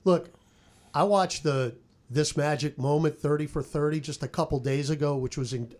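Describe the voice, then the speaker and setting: A man's voice: a little high, normal speed, a little mellow. A man on a sports podcast panel talking casually and conversationally, repeating phrases as he thinks.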